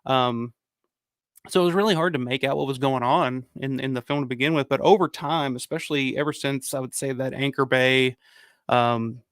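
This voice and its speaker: A man hosting a casual livestream, hoarse from a cold, rambling and swearing as he reads viewer comments. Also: medium pitch, fairly even intonation, moderate pace, no room sound, clean studio sound. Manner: rambling, profane, frequent restarts. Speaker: livestream host.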